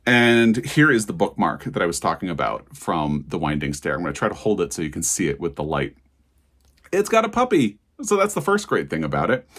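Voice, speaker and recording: A man talking casually as a book vlogger, in a conversational, digressive way, often correcting himself as he goes. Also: deep voice. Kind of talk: casual chatty vlog to camera.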